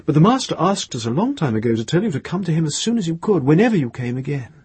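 A man's voice: a little high, very animated, fast, darkish tone, slightly rough, no room sound, pitched above the performer's own voice, full character voice. A man voicing a kindly, bustling woman in a storybook, chatty and generous. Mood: surprised, brisk. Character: kindly, chatty woman, proud homely knitter.

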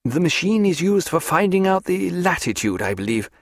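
A man performs a learned naval doctor for an audiobook. He speaks formally in ornate, old-fashioned phrases with a wry, unworldly air. The intonation is very lively and the recording dry. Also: sits high, moderate pace, no echo, voice pushed up. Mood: wry, puzzled.

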